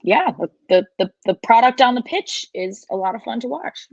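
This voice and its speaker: A woman's voice: a little high, lively intonation, moderate pace, vocal fry, a little mellow, husky, no echo. Woman podcaster, dry and slightly dull audio. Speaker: podcast panelist. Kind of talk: casual sports podcast chat.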